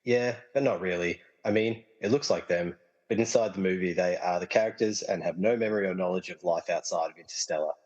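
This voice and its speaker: Man doing easygoing film nerd in audio comedy, low husky voice, lots of reverb. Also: a little fast, darkish tone, dull audio. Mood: amused, casual. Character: easygoing movie-loving man trapped inside a film. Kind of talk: amateur comedy radio play dialogue. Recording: long reverberant hall echo.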